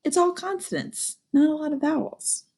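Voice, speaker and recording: A woman's voice: sits high, steady tone, normal speed, warm tone. A woman reading a storybook aloud to young children, expressively, with asides to her listeners. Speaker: storybook reader.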